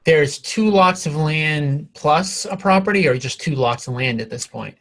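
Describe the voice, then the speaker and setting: A man's voice: a little high, a little slow, a bit bright. A man leading a casual real estate conference call, asking direct, probing questions as a coach.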